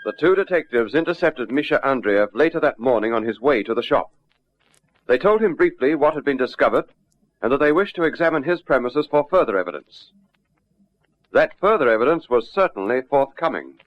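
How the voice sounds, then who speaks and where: A man's voice: medium pitch, animated, fairly quick. A man hosting and narrating a scripted crime drama in a formal announcer's manner. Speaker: narrator and host. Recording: dull lo-fi old radio recording.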